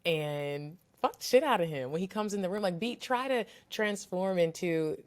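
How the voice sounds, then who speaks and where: A woman's voice: lowish voice, moderate pace. A woman co-hosting a podcast, chatting casually in a blunt, slangy storytelling manner. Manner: blunt, profane, animated storytelling. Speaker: podcast co-host. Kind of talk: casual chat.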